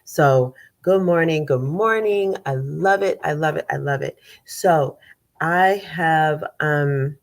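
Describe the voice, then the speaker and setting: A woman host with a low voice and a congested, stuffy sound talks loosely to her audience. She rambles, repeats herself and fills pauses with ums as she warms into the show. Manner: chatty, rambling, with many fillers and repeats. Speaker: conflict coach and show host. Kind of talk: casual live show chat.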